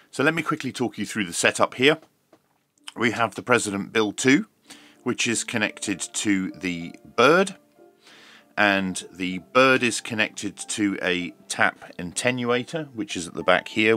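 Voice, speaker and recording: A man's voice: sits low, bright, forceful tone. A man casually narrating a hands-on product unboxing and review in an informal, running commentary. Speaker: host of a radio review channel.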